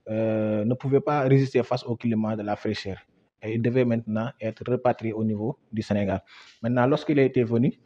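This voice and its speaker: Young male guide from Senegal, mid-pitched and hesitant, for a museum tour. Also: mellow. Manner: hesitant, many fillers and repeats. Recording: dull lo-fi sound.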